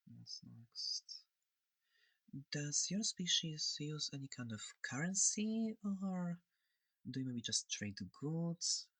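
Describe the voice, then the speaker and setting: A performer voicing a friendly, curious alien examiner in a soft, hesitant, breathy ASMR roleplay. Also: medium pitch, no echo, heavily acted, rough recording. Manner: soft-spoken, hesitant, gently inquisitive.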